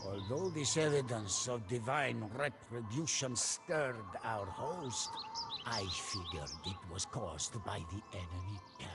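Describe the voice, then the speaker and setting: An actor playing a solemn storyteller who recounts a medieval warlord's tale in dramatic game cutscene narration. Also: male voice, on the low side, animated, takes it slowly. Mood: uneasy, reflective. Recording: music behind the voice.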